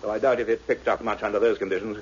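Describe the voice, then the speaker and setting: An actor playing a kindly, authoritative older scientist with a deliberate, earnest delivery in a 1960s radio drama. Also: male voice, on the low side, fairly even intonation, darkish tone, close to an everyday voice. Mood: intent, commanding. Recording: muffled old radio recording, faint noise.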